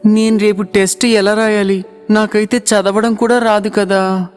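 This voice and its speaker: An earnest, anxious village boy in a cartoon storybook, voiced by a woman narrator, pitched a little lower and softer than her telling. A long reverb trails each line over soft music. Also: level tone, a little slow, natural voice. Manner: earnest, pleading.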